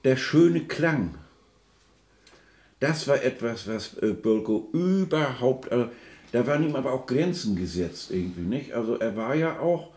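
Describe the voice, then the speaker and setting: A man with a mid-pitched voice gives an informal spoken commentary. He proceeds haltingly, searching for the right word and looking for agreement as he explains. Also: lively intonation, a little mellow.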